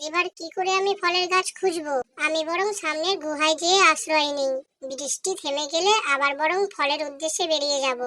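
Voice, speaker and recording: A small, hardworking female bird from a children's cartoon, voiced by a woman. Her voice is very high and childlike. She comes across as earnest and guileless in the way she talks. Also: fairly even intonation, a little fast, sharp tone. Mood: worried.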